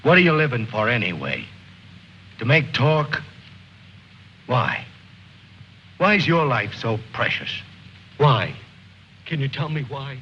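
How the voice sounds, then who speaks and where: An actor playing a bitter, world-weary soldier in a mid-century film drama, speaking intensely and bluntly. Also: male voice, medium pitch, sing-song, slow, long pauses, slight background noise. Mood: challenging. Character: brooding, bitter male soldier Mac, set on a last mission. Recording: muffled old film soundtrack with music.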